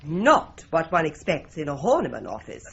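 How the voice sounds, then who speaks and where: A husky, wry older woman, voiced by a woman, teasing in tone with an animated pitch. in a radio drama. The recording is dull and lo-fi. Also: moderate pace, heavily acted.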